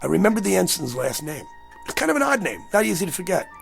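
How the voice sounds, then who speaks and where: Male, high gravelly voice, agitated and haunted, insistent drama delivery over music. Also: big pitch swings, quick pace, exaggerated voice. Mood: haunted, intent. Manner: urgent, pleading. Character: agitated, insistent man haunted by a recurring dream.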